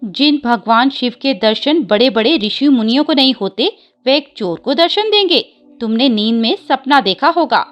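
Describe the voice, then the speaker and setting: A woman's voice: sits high, animated, normal speed, highs cut off. A woman voicing a devout, sensible wife who questions and advises in a devotional story. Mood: doubting. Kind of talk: Hindi devotional story dialogue.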